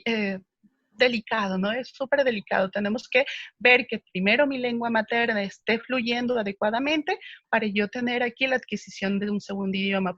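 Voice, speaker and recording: A woman's voice: on the high side, fairly bright tone, no echo, dull audio. A woman speech therapist answering viewer questions, explaining patiently with frequent hesitations.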